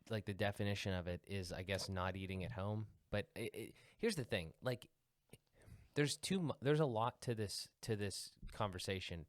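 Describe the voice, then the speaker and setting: A man's voice: lowish voice, dry recording, clean studio sound. A young man chatting casually as a podcast co-host, reasoning aloud and restarting his points as he argues them. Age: young adult. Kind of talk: casual chat.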